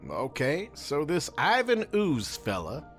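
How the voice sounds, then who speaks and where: A man plays a brash young masked hero in an audio drama, casual and sarcastic, with a lively, bouncing delivery. Faint music sits under the scene. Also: fairly high pitch, heavily acted. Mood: bewildered. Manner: sarcastic, casual, quick to swear. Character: cocky young male masked rider hero.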